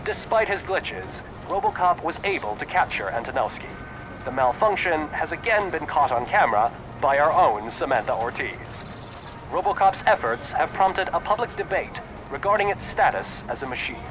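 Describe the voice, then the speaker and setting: A man's voice: a little high, very animated, moderate pace, background noise. A man playing a polished television news anchor in a video game, announcing in a punchy, formal way. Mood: brisk, formal.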